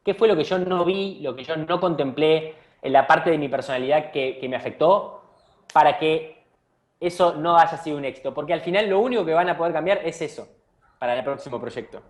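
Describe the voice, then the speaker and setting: A man's voice: a little high, brisk. A man from Argentina with an Argentine accent, a company founder answering interview questions with earnest advice and frequent restarts. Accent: Argentine Spanish. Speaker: startup CEO being interviewed.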